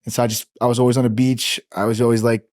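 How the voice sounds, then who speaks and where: Fast-talking man chatting casually. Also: mid-range voice, no echo, studio quality. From Dominican Republic. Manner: relaxed, rambling storyteller with many fillers. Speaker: bar owner guest. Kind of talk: casual podcast chat.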